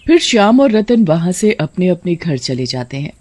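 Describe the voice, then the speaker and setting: A woman's voice: lowish voice, very animated, normal speed, darkish tone. A woman storyteller reading scripted narration for a horror tale.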